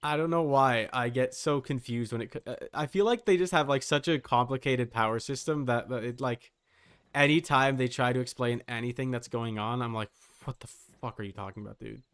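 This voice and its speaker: A man's voice: medium pitch, brisk, a bit bright, smooth. A man hosting a game livestream, talking casually and informally in a rambling way, thinking aloud as he goes.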